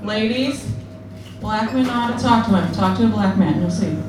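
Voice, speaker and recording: A young woman performing a crude, self-deprecating stand-up set in a deadpan manner. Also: mid-range voice, a little fast, a crowd in the background. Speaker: stand-up comedian. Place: comedy club or bar stage. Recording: echoey room, distant, noisy.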